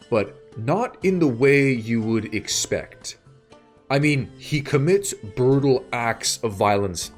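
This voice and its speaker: A man narrating a scripted video essay in a measured way, pausing between short phrases. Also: medium pitch, a little mellow. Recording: music bed behind the voice. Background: background music.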